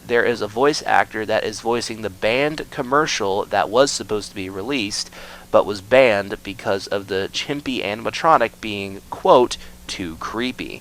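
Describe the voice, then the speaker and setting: Male video essay narrator, average pitch, scripted storytelling delivery. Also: fairly bright tone, low-quality recording. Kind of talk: scripted explainer narration of a horror series.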